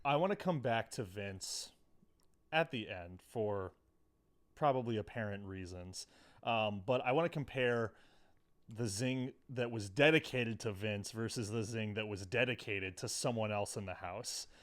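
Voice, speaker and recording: A man's voice: lowish voice, measured pace, fairly bright tone. A man co-hosting a recap podcast in casual chat, with wry, sweary banter. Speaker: podcast co-host. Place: studio.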